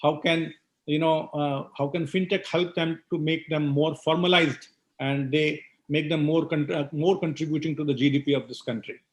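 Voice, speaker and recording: A man's voice: on the high side, fairly even intonation, normal speed. A man moderating a panel, asking a long question with many "you know" and "uh" fillers.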